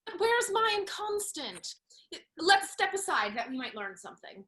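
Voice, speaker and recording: A woman's voice: extremely high pitch, very animated, moderate pace, bright, forceful tone, reverberant, full character voice. An actress playing a bold, witty young noblewoman in a Restoration comedy, with spirited, teasing emphasis. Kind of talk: Restoration comedy stage acting.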